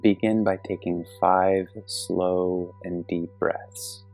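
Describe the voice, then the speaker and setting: A man leading a guided meditation as an instructor, speaking gently and calmly with measured pauses. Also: low voice, vocal fry, rough recording. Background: soft meditation music with singing bowl tones.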